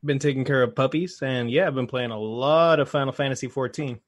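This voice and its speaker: A man co-hosting a casual podcast chat, low-key and dryly joking. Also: a little fast, dry recording, clean studio sound. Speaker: podcast co-host.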